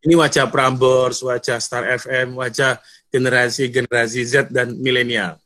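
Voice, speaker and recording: A middle-aged man from North Sumatra speaks as a guest at an online seminar, talking through a computer mic on a video call. He chats easily and teases the listeners, with a voice of middling pitch for a man. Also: flat delivery, moderate pace, fairly bright tone. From North Sumatra, Indonesia. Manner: chatty, joking, addresses audience directly.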